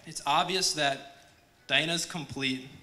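A man's voice: fairly high pitch, takes it slowly, pushed and bright. A man giving dry one-liners in a best man toast. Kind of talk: wedding toast. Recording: noisy room.

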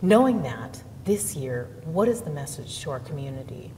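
Low, mellow-voiced young woman reporter. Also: sing-song, normal speed. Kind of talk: interview questions. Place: indoor exhibit hall. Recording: hall reverb, faint rumble.

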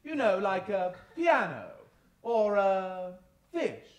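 A man's voice: sits high, sing-song, slow pace, heavily acted. A man playing a flamboyant, campy pantomime dame in a stage comedy, in an echoey, noisy theatre recording. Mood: playful. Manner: campy, exaggerated.

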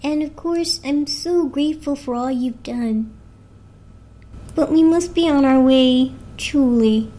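Woman as a high, grateful talking blanket. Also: slow, warm tone. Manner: polite, earnest. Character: grateful, gentle, polite electric blanket. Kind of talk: dramatic children's storybook reading.

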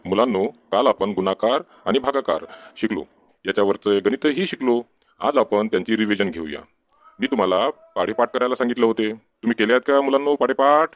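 A man's voice: fairly low pitch, a little fast, no echo, muffled recording, natural voice. A man voicing an exasperated, scolding village schoolteacher in a comic cartoon. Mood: instructive. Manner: scolding, questioning, name-calling.

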